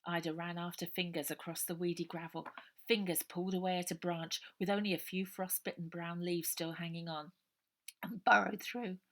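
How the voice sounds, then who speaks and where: Female narrator, slightly low voice, expressive children's story reading. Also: moderate pace.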